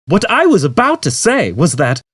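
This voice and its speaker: A man's voice: fairly high pitch, wildly swooping pitch, normal speed, fairly bright tone, husky, no echo, voice pushed up, full character voice. A man voicing a brash, relentlessly cheery shipboard computer in an audiobook, with an over-eager, chattering salesman's manner. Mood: bright, chattering. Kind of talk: audiobook character voice.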